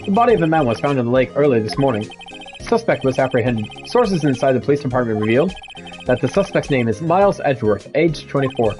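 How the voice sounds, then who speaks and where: A man's voice: mid-range voice, sing-song, fast, darkish tone, faint music behind, lightly acted. A man voicing an earnest male television newscaster reading a bulletin in a video game. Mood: brisk, serious. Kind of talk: scripted news bulletin parody.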